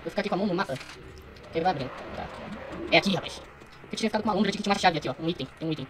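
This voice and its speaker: Fast-talking Brazilian man, casual gamer. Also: high voice, noisy background. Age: young adult. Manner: slangy, muttering to himself. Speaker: gaming streamer. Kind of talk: casual let's play commentary.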